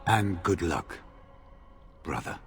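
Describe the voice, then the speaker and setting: Courteous veteran warden, tired and measured, very low, soft music behind, game cutscene. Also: male voice, lively intonation, vocal fry, heavily acted. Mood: grave, relieved. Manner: measured, formal. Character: weary, courteous senior warden freed from captivity. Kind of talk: video game cutscene acting.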